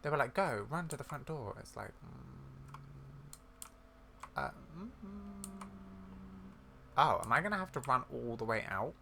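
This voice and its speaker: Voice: mid-range voice, very animated, measured pace, vocal fry, pauses often, sharp tone. A gamer giving casual let's play commentary, rambling and thinking aloud in a confused way, sometimes reading quest dialogue aloud. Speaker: gaming video creator. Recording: faint background noise with keyboard typing.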